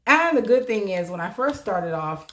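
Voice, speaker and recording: A woman's voice: medium pitch, very animated, moderate pace, a bit bright. A woman from South Florida, a notary business mentor, chatting live with viewers in an upbeat way and laughing often. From South Florida, USA. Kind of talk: live-stream chat answering viewers.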